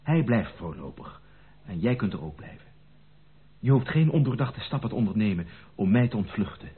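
An earnest, protective gentleman in an old radio drama. His intonation moves widely and his delivery is formal and emphatic, with a very husky tone. The recording sounds thin and muffled. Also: lowish voice, sing-song, normal speed, full character voice. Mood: insistent, agitated. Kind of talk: Dutch radio play acting.

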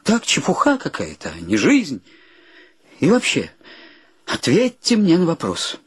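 A man's voice: on the high side, wildly swooping pitch, a little slow, slightly rough, no echo, studio quality, exaggerated voice. An actor playing a wry, philosophizing penniless drifter in a radio play, plaintive and ironic in his delivery. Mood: wry, world-weary. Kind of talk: Russian radio play acting.

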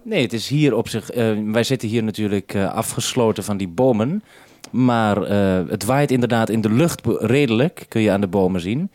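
A man's voice: fairly low pitch, moderate pace, a little mellow, dry recording. A man interviewing over two-way radio as the programme maker at base, giving practical instructions and using formal call procedure. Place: sheltered base station.

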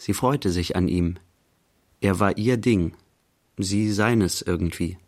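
An actor playing the narrator of a literary radio play, telling an artist's day in measured, short phrases. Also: male voice, deep voice, darkish tone. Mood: measured narrating. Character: narrator recounting an artist's day in present tense.

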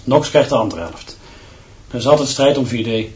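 An actor playing an excitable, loyal schoolteacher in a radio play. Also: male voice, mid-range voice, moderate pace, highs cut off, a little hiss or hum, natural voice. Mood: matter-of-fact.

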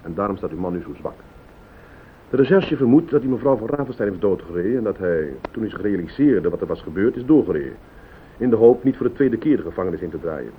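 An actor playing a composed private detective who questions politely and methodically in a radio play. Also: male voice, expressive, fast talker, lightly acted. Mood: grave, explaining. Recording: tinny old radio recording, hiss, rumble.

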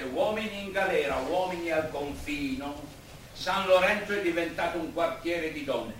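A man acting a pompous, idealistic gentleman doctor with flowery, declamatory speech in a radio play. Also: fairly high pitch. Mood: wistful, lyrical. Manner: declamatory, oratorical. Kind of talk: stage-like radio drama acting. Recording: distant, echoey room with faint noise.

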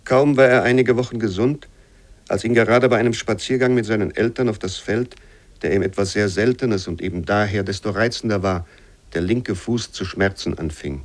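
A man reading a bleak childhood memoir as a quoting voice in a radio essay, measured and sober. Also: on the low side, natural voice. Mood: sober, grave. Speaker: reader of literary quotations. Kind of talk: scripted literary reading for radio.

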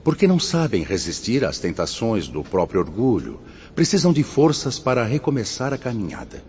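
An actor playing a fatherly spirit mentor in a radio drama, speaking measured, didactic Portuguese with a Brazilian accent. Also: medium pitch, big pitch swings, a little mellow, a little hiss or hum, close to an everyday voice. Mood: grave, instructive. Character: solemn, fatherly male spirit mentor guiding suicide souls. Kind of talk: scripted radio drama acting. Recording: muffled audio with music underneath.

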